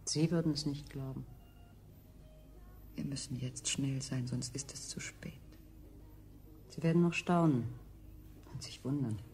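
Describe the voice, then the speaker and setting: A woman's voice: a bass voice, a little slow, long pauses, darkish tone, faint music behind. An actress playing a bitter enslaved woman who plots against her masters, in a declamatory, conspiratorial 1970s radio play style. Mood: defiant. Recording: 1970s studio radio recording.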